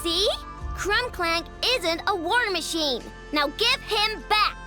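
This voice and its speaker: A woman's voice: very high voice, animated, slow pace, over music, full character voice. A woman voicing a plucky, cheeky young girl inventor in an anime-style video game, bold and spirited. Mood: defiant.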